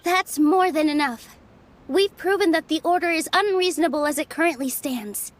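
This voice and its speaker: An actress playing an earnest young theater dancer in a video game, gentle yet resolute and heartfelt. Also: female voice, sits high, moderate pace, natural voice. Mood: firm. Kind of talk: scripted video game dialogue.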